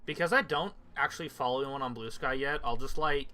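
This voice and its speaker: A man's voice: mid-range voice, quick pace, pushed and bright. A man chatting casually with friends on a livestream, swearing freely as he talks. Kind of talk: casual group chat on a livestream. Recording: music playing behind the voice. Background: background music.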